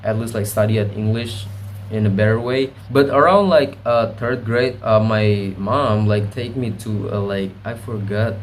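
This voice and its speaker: A man's voice: on the low side, measured pace, poor audio. Young guy vlogging, rambly, keeps correcting himself, cusses a lot, faint hum behind. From Indonesia. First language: Indonesian. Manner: rambling, self-correcting, swears freely. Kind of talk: casual rambling monologue.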